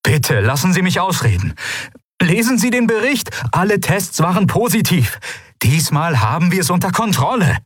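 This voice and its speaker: A man's voice: sits high, sing-song, normal speed, slightly rough, no echo, clean studio sound, voice pushed up, full character voice. A man voicing an eager male official who pleads earnestly with his ruler, in a raised voice. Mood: pleading.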